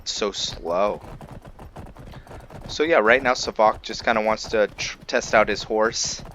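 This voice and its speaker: A man giving casual, unscripted gameplay commentary, musing and haltingly thinking aloud. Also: on the low side, fairly bright tone, highs cut off, a little hiss or hum. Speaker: gamer hosting a let's play.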